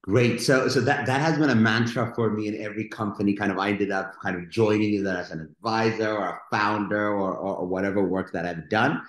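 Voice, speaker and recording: Deep-voiced man on a video call. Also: fairly quick, hardly any pauses. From Ethiopia. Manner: energetic, gesturing with his hands. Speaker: guest entrepreneur and investor. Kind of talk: webinar panel answer. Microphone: video call webcam. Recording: roomy webcam call.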